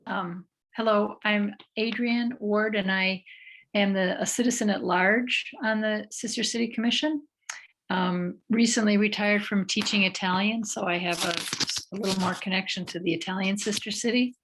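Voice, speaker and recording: A woman commission member introducing herself in a soft, halting way on a video call. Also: medium pitch, steady tone, slight background noise, low-quality recording.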